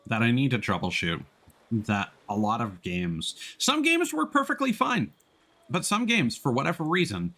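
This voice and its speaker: A man's voice: lowish voice, pushed and bright. A man chatting casually as a live streamer during gameplay, joking along as he goes.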